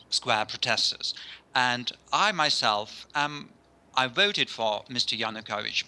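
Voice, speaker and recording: Blunt bright-voiced man. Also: medium pitch. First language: Russian. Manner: blunt, firm opinions. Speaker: caller from eastern Ukraine. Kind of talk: radio phone-in debate.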